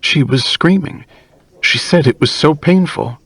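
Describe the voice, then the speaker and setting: A man's voice: mid-range voice, a little fast, dry recording, voice pushed up, lightly acted. A man voicing an anxious, distressed mother in an audiobook.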